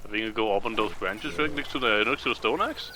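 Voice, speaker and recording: A man's voice: lowish voice, fast talker, pushed and bright. A man chatting casually as he comments on a video game, rambling and repeating words as he explains, with game music behind him. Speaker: co-host commenting on a game playthrough. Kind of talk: casual gaming chat.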